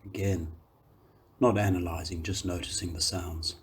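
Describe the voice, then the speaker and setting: A man guiding a meditation, speaking calmly and gently in unhurried phrases with long pauses. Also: sits low, slightly rough. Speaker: meditation guide, yoga teacher. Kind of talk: guided meditation, slow soothing instruction.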